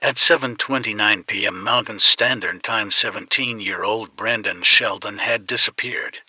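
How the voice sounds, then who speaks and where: Low-voiced man reading an emergency alert. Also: normal speed, sharp tone, breathy edge, no echo, low-quality recording. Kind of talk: scripted emergency announcement.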